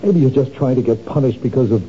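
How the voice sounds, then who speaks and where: A man's voice: mid-range voice, fast, dark tone, slight background noise, close to an everyday voice. An actor playing a worried husband who urges his wife to see a doctor, in a 1970s radio drama, with an insistent manner. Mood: pleading. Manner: pleading, insistent. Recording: dull old radio broadcast.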